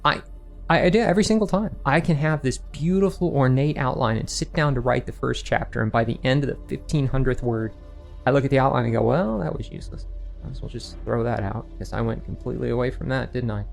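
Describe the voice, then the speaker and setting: A man's voice: medium pitch, fast, gravelly, faint music behind. A man speaking as a guest author in a casual interview, explaining his process with rhetorical questions and quoted asides to himself. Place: remote online recording session.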